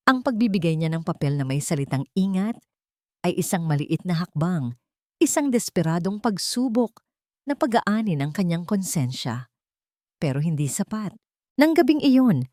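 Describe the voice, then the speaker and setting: A woman narrates a melodramatic story in a fairly low voice. Her intonation moves widely and builds suspense with emotion. The recording is dry. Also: a little mellow, no room sound. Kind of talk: dramatic scripted narration.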